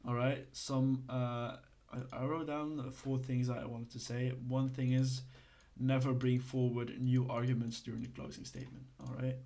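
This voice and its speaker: A man's voice: mid-range voice, level tone, normal speed, hardly any pauses, dark tone. A man from Belgium, giving a casual, hesitant lesson full of fillers and restarts. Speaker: instructor.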